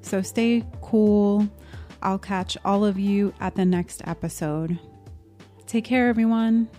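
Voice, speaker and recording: A woman's voice: mid-range voice, steady tone, slow, dark tone, soft background music. A woman hosting a podcast, closing the episode in an upbeat, chatty and encouraging way. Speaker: podcast host. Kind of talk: casual podcast outro.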